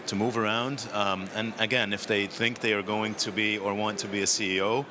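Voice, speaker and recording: A man with a low voice answers interview questions at a conference. He is relaxed and thinks aloud. Steady noise from the busy floor runs behind him. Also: a little fast. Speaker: industry guest. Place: conference studio.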